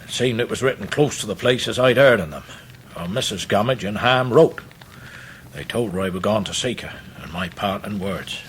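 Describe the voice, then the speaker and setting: A man's voice: on the low side, animated, normal speed, slight background noise, lightly acted. An actor playing a humble, devoted working-class old fisherman with an East Anglian accent, speaking earnest rustic dialect in a radio drama. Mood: earnest, subdued. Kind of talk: full-cast radio drama acting.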